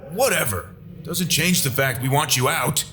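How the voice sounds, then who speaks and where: A man's voice: a little high, animated, normal speed, bright, forceful tone, slight background noise. A man playing a cocky, hostile young frat-boy ghost in an audio drama, brash and taunting. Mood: dismissive, irritated.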